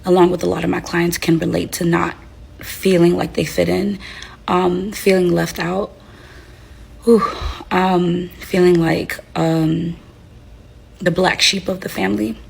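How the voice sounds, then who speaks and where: A young life coach from Haiti speaks in a low, gravelly voice that stays fairly flat. She sounds tired and under the weather with a headache, and gives reflective, unhurried advice full of fillers and restarts. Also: low-quality recording. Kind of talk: casual talking-to-camera advice.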